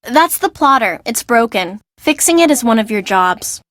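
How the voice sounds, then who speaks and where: An actress playing a welcoming but harried young fashion assistant in a video game. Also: female voice, fairly high pitch, expressive, moderate pace, fairly bright tone, dry recording, studio quality.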